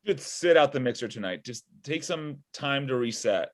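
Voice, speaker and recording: A man's voice: medium pitch, expressive, moderate pace, close to an everyday voice. Exasperated male boss, acted by a man. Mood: weary, earnest. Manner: earnest, exasperated. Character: exasperated male boss of a robot, pleading, sensible. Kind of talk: comedy script table read. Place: indoor room at home, video call. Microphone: video call.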